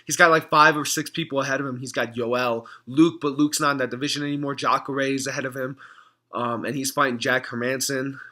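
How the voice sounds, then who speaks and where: A young man with a hoarse voice, talking casually to camera in a reaction vlog, rambling, clearing his throat often. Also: mid-range voice, steady tone, a little fast, sharp tone. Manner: rambling, frequent throat clearing and filler words. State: hoarse from screaming. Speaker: MMA fan vlogger.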